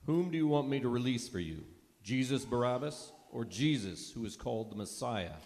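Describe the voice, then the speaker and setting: Man acting wary Roman governor, questioning, medium pitch, reader's theater, long hall reverb. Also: expressive, moderate pace, darkish tone, lightly acted. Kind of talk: church reader's theater reading.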